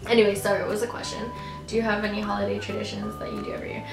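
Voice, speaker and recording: A woman's voice: medium pitch, little pitch movement, rapid-fire. Young woman, chatty and giggly, lots of "like", roomy echo, music underneath. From Philippines, raised in Canada. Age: young adult. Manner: chatty, giggly, many "like"s and restarts. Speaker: vlogger answering viewer questions. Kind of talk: casual chat.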